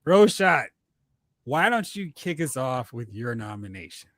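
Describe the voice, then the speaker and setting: A man hosting a casual, joking movie talk show with an animated delivery. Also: medium pitch, a little slow, dry recording. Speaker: podcast host.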